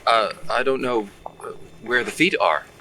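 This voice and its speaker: A man's voice: medium pitch, a little slow, pushed and bright, far from the mic, poor audio. A man playing a blunt, bossy pallbearer with little patience in an audio drama. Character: blunt, impatient male pallbearer scornful of superstition.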